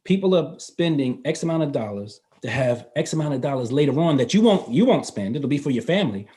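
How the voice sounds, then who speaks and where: A man in his fifties, a guest in a casual interview, explaining finance in a relaxed way and laughing easily. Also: mid-range voice, fairly quick. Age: 50s. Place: North Carolina.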